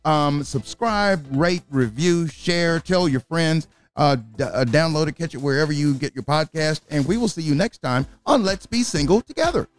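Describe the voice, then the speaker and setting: A man's voice: a little high, expressive, moderate pace, few pauses. Joking man hosting a podcast. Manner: joking, rambling with fillers. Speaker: podcast host. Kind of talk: casual chat.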